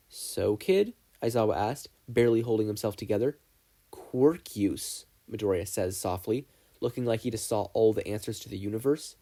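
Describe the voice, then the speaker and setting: Guy narrating an audiobook story, soft mellow tone, bit husky, pretty animated delivery. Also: medium pitch. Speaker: fan fiction narrator.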